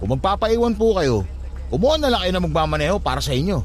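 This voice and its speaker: Radio drama nephew: high voice, very animated, sarcastic and argumentative. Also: male voice, fast. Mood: defiant, annoyed. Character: irritable, blunt skeptical nephew, a grown man. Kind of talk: Tagalog radio drama acting.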